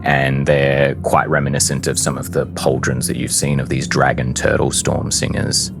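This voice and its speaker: A man's voice: extremely low pitch, completely flat delivery, moderate pace, creaky. A man narrating a fantasy tabletop game as game master, in a descriptive storytelling style.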